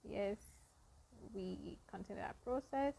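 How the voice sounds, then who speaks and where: A woman hosting a casual cooking vlog, explaining each baking step to her viewers in an unhurried way. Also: medium pitch, gravelly, warm tone. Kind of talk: casual baking demonstration narration.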